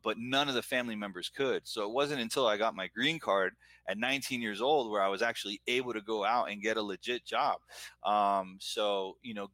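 A man's voice: fairly low pitch, a bit bright, no echo. A middle-aged man with an American accent, talking earnestly and at length about himself in a casual conversation. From Florida, United States. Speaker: guest, entrepreneur and business owner. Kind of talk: casual chat, personal reflection.

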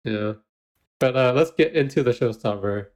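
A man's voice: medium pitch, normal speed, darkish tone. A man co-hosting a podcast, recapping a baking show in casual chat, giggling often and using lots of fillers. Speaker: podcast co-host.

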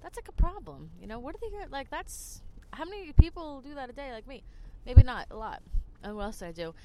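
A woman's voice: high voice, sing-song, a little fast, background noise. A woman from New Jersey, a podcast host telling rambling stories in casual chat. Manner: chatty, rambling, lots of "like". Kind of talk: casual storytelling monologue.

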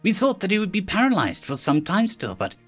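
A man's voice: very high-pitched, animated, normal speed, soft background music, voice pushed up. A man voicing a nervous young female alien nurse in a storybook reading. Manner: nervous, flustered.

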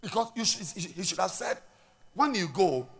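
A man with a Ghanaian accent preaching a sermon as pastor, swinging between loud declarations and quiet asides and repeating words as he restarts. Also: high-pitched, animated, moderate pace.

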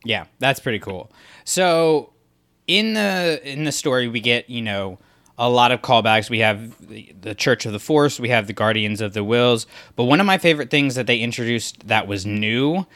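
Male podcast co-host, bright tone, casual rambling chat. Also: mid-range voice, lively intonation, moderate pace. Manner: rambling, lots of fillers and restarts.

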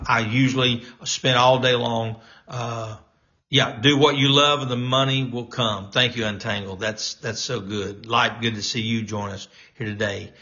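Bright-voiced halting pastor on a live stream. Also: male voice, medium pitch, lo-fi sound. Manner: halting, many fillers and restarts; encouraging, preachy. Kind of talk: casual live-stream talk.

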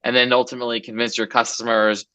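A man's voice: mid-range voice, little pitch movement, fast talker, pushed and bright, clear, resonant tone. A man in his forties from Los Angeles, a guest entrepreneur chatting casually in an interview, loose and rambling with many fillers.

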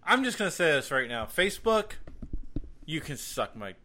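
A man's voice: a little high, very animated, moderate pace, pushed and bright. A man co-hosting a podcast in casual comedic banter, with a profane, sarcastic, ranting manner. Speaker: podcast co-host.

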